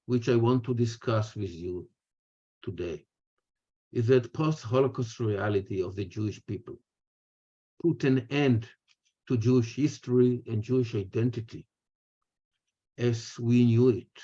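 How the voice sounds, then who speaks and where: Older israeli guy giving a lecture on a video call, mellow voice, kinda muffled audio, measured phrases. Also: medium pitch. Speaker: speaker, retired history professor. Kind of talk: prepared lecture in a webinar.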